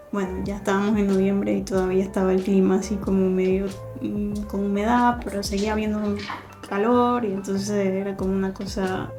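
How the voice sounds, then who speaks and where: A woman, chatting casually in a home vlog, soft-spoken and thinking aloud with small pauses. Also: medium pitch. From Venezuela. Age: 30s. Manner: soft, relaxed, thinking aloud with fillers and restarts. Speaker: artist vlogger. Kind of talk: casual vlog talk to camera. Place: living room at home, cats playing nearby.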